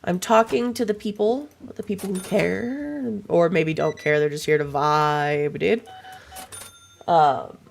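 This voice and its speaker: Young woman streamer, low gravelly voice, still hoarse from a cold, slow rambling chatter, swears loosely. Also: a little hiss or hum. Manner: rambling, swears casually, chats to viewers. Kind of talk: casual livestream chat while gaming.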